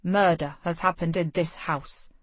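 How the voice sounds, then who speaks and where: A woman doing a steady, firm young lord. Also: on the high side, no room sound. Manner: calm, firm and measured.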